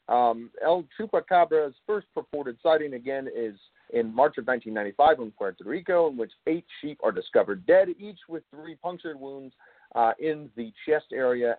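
A man hosts an informal explainer monologue in his native English. His delivery is halting and full of filler pauses. The sound is thin and narrow, like a phone line. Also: mid-range voice. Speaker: show host. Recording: roomy, phone-like tinny line.

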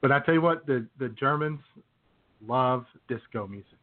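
A man's voice: medium pitch, moderate pace. A man co-hosting a music radio show, introducing songs with casual, folksy, jokey banter. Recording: tinny phone-like sound.

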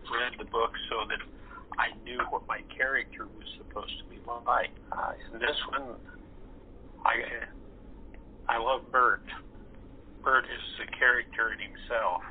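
A thin telephone sound with faint noise carries this man's mid-pitched voice. He talks casually and reflectively as a guest, halting as he works through his thoughts. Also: steady tone. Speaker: voice actor, interview guest. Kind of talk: casual chat. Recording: phone-like line with faint noise.

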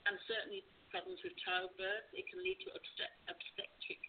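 A woman's voice: mid-range voice, sing-song, brisk. A woman guest from a charity, answering interview questions earnestly over a call. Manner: earnest, explanatory. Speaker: guest, charity communications manager. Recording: tinny phone line with faint hiss.

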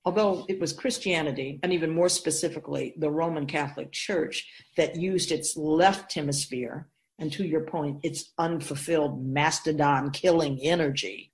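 A woman voicing a wise, ancient spirit who keeps souls, teaching patiently and deliberately in a scripted radio drama. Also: sits low, a little mellow. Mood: solemn, instructive. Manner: measured, deliberate, didactic, solemn.

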